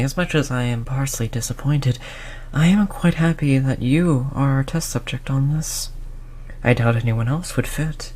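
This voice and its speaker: A performer of unclear sex playing a cold, condescending researcher who disciplines a captive test subject in a measured, mock-polite way, in an audio roleplay. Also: medium pitch, darkish tone, close to an everyday voice. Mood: stern, condescending. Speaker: scientist running human experiments. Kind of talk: scripted audio roleplay drama.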